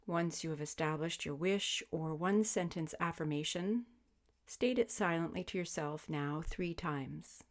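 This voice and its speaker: A woman with a low-pitched voice leads a guided relaxation as a yoga teacher. She gives her instructions gently and soothingly, as measured invitations with space left between them. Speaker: yoga nidra meditation teacher. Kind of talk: guided sleep meditation, spoken instruction.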